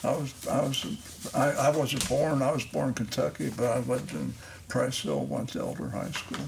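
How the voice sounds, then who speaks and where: Older male podcast host, gravelly voice, halting and rambling, faint hiss behind. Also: mid-range voice, expressive. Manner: halting, many restarts and fillers. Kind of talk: casual interview.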